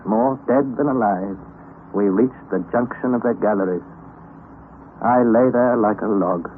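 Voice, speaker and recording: An actor playing a weary, despairing young nephew who narrates a perilous expedition in a formal, anguished radio-drama style, on a thin, noisy vintage recording. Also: male voice, medium pitch, narrow, tinny audio, lightly acted. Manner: formal, anguished, theatrical delivery. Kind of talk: dramatic first-person radio play narration.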